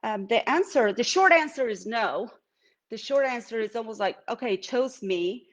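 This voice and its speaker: A woman's voice: a little high, expressive, moderate pace, slight background noise. Reflective middle-aged woman, Venezuelan accent. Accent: Venezuelan Spanish. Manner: reflective, with many fillers and restarts. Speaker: artist. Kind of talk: live-streamed artist talk. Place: home art studio.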